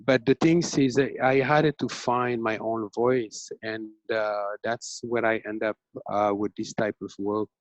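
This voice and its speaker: A man's voice: lowish voice, fairly even intonation, a little slow, no room sound. A man from Senegal, a self-taught painter, talking hesitantly about his work over a video call. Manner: hesitant, searching, many fillers. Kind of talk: casual artist talk on video call. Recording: dull, dry video call audio.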